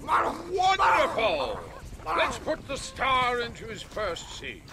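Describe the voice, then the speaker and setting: A flamboyant, gushing film director. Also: male voice, extremely high pitch, wildly swooping pitch, takes it slowly, gravelly, heavy background noise, full character voice. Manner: theatrical, fussy, gushing. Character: eccentric male film director, flamboyant and theatrical. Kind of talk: video game cutscene acting.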